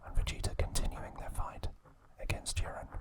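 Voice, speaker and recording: Male ASMR host, fully whispered, soft and unhurried throughout. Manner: soft unvoiced whisper, slow and even; brief aside to his cat.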